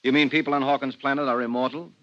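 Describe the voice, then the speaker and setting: A man's voice: medium pitch, flat delivery, quick pace, fairly bright tone, lightly acted. An actor playing a dry, sardonic policeman husband who asks probing questions in a 1950s radio drama. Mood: wry, suspicious. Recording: muffled 1950s radio recording.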